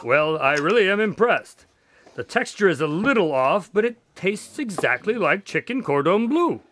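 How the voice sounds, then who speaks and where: A man's voice: high voice, big pitch swings, normal speed, fairly bright tone, a little hiss or hum. An actor playing a polite, wry, long-suffering doctor who tells his story in a radio drama, formal and good-natured. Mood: wary, wry.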